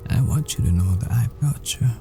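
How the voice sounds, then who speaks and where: A man playing a tender, desperate boyfriend at the world's end in an intimate ASMR roleplay, murmuring breathily and haltingly. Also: fairly low pitch, lively intonation, vocal fry, warm tone, soft background music. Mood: tender, teasing.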